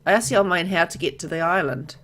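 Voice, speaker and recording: Low-voiced woman reading a game story aloud. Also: fast talker, a bit bright. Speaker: gamer reading a visual novel aloud. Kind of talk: let's play narration, reading game text aloud with casual asides.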